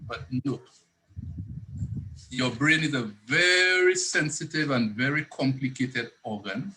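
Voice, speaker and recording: A man's voice: mid-range voice, takes it slowly, pushed and bright, poor audio. A man, a psychiatrist explaining mental health in an interview and earnestly rephrasing his points as he goes. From Saint Lucia. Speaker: psychiatrist guest.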